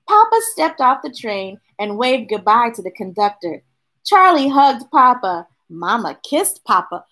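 A middle-aged woman reading a picture book aloud to children, expressive, with chatty asides to her young listeners. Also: on the high side. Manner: expressive, drawn-out delivery addressing young listeners. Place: small indoor room with bookshelves.

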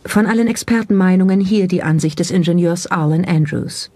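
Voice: medium pitch, a little fast, a little mellow, no echo. A narrator reading scripted documentary commentary in German voice-over style. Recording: voice over background music. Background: background music.

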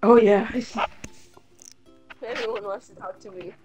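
A woman's voice: mid-range voice, steady tone, measured pace, dull audio, over music. A woman with a congested nose, teasing her friend in casual chat while streaming a game. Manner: teasing, banters back.

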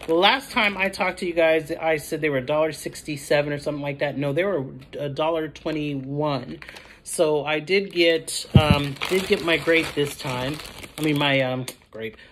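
A woman's voice: deep voice, normal speed, a little hiss or hum. A middle-aged or older American woman chatting casually to the camera in a rambling, self-correcting way. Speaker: vlogger showing a grocery haul. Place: indoor room at home.

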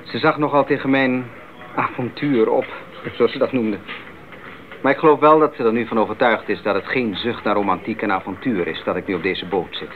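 An actor playing a determined, curious young male missionary in a 1980s radio drama, with earnest, clear phrasing. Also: medium pitch, fairly quick, close to an everyday voice. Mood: reflective. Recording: narrow-band 1980s radio recording, faint noise.

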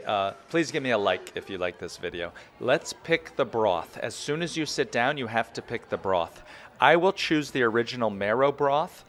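A man's voice: medium pitch, fairly bright tone. An enthusiastic, chatty man in his thirties or forties, giving a casual guided food review as a vlogger.

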